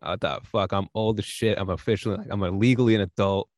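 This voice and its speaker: A man's voice: on the low side, steady tone, fast, no echo, clean studio sound. A man in his late twenties co-hosting a podcast, chatting in an easygoing, joking way and laughing often. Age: 20s. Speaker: podcast co-host. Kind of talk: casual chat.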